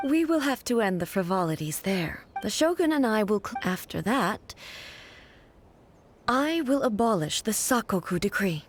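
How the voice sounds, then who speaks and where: A woman playing a solemn, gentle female ruler in a video game, speaking in a formal, measured, earnest way. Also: medium pitch, sing-song, slight background noise, close to an everyday voice. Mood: composed, resolute. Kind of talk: video game dialogue acting. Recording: music playing behind the voice.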